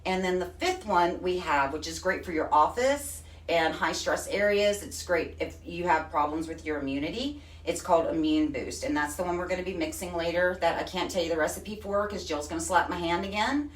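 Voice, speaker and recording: A woman's voice: on the low side, a little fast, hardly any pauses. Texan woman chatting from across an echoey room. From Texas. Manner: chatty and folksy, says honey and y'all. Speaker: host. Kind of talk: casual live-stream chat. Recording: echoey room, far from the mic.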